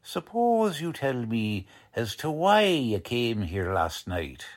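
An actor playing a gruff, bossy, uneducated police lieutenant with blustery, ungrammatical speech in a 1940s-style radio drama. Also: male voice, medium pitch, very animated, takes it slowly. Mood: interrogating.

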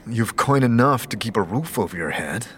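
A man's voice: on the low side, lively intonation, moderate pace, fairly bright tone. A man playing a blunt, brooding wanderer in a fantasy audio drama, terse and demanding. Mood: questioning, firm.

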